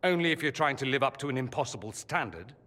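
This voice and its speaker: A proud young nobleman mage from a video game, theatrical and sarcastic. His bright, forceful voice swings widely in pitch and rings in a long hall reverb, articulate and defiant. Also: animated, fast. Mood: hurt, defiant. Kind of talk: dramatic video game voice acting.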